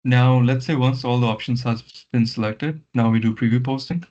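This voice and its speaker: Muffled man leading a software walkthrough. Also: mid-range voice, moderate pace. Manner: instructional, repeats words and instructions. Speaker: software trainer leading a session. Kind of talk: step-by-step online software walkthrough. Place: online meeting call. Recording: muffled lo-fi online meeting audio.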